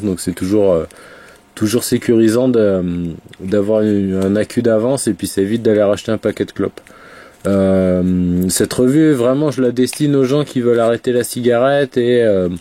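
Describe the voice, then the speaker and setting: Male, congested, casual vlogger, mellow low voice. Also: steady tone, moderate pace. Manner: rambling, many fillers and restarts. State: has a cold, congested. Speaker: vape reviewer. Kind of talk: casual product review vlog.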